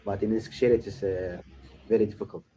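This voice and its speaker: A young man from Iraq, practicing English on a video call, halting and stopping often to search for words. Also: fairly low pitch, fairly even intonation, warm tone, a little hiss or hum, low-quality recording. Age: late teens. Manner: halting, many uh fillers and restarts. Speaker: English learner. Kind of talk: casual video-call conversation.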